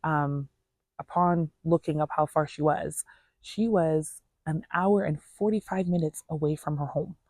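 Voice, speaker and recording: A woman's voice: low-pitched, fairly even intonation, measured pace, a little mellow, no echo. A woman telling a personal story in a casual, earnest, conversational way, voicing reported dialogue as she goes. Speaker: storyteller vlogger.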